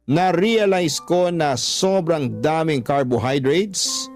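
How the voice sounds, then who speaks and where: A man's voice: on the high side, a little mellow. A man narrating a personal story in the first person, in a scripted radio storytelling style. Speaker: storytelling host reading a listener's letter.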